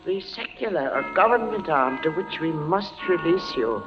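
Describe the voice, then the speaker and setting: An actor playing a stern, pious, merciless Inquisition judge, solemn and declamatory in 1940s radio style. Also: male voice, fairly high pitch, expressive, normal speed, over music, lightly acted. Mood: coldly solemn. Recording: muffled 1940s radio recording.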